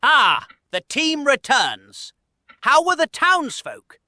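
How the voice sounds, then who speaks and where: A woman playing a motherly, genteel, gently bossy housekeeper in an audio drama, polite and fussing. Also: sits high, huge pitch swings, takes it slowly, no room sound, clean studio sound, heavily acted. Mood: welcoming, fussing.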